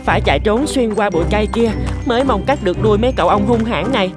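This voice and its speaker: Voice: mid-range voice, fast, lightly acted. Playful little elephant boy voiced by an actor. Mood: panicked. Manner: childlike, eager, expressive. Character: playful mischievous little boy elephant who dodges chores. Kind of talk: children's cartoon dubbing. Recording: music bed behind the voice.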